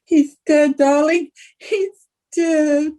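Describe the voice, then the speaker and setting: A melodramatic foreign femme fatale acted by a woman from a radio mystery. The voice is high and mellow, drawn out slowly in formal, theatrical phrases. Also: steady tone. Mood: distraught. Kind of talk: old-time radio drama acting.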